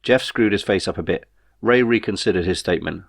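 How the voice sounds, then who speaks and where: A man reading his own novel as a scripted audiobook, in a measured storytelling manner. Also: low voice, creaky.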